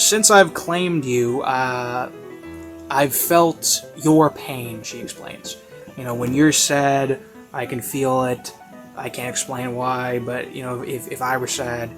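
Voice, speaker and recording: Male, mid-pitched, off-the-cuff reviewer. Rambling, hesitant delivery with frequent searching pauses, classical music bed behind. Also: fairly bright tone. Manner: hesitant, halting, thinking aloud. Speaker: reviewer of audio series. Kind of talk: casual rambling spoken review.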